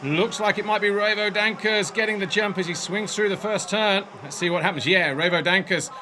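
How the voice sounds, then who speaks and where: High-voiced male race commentator. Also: slight background noise. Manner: brisk, excited play-by-play. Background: music bed.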